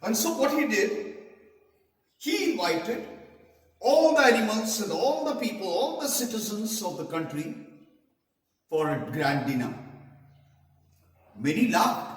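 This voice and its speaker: A man tells a story for children in a halting way, repeating himself and explaining words as he goes. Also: sits high. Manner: halting, repeats and rephrases for young listeners. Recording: very echoey hall, distant mic.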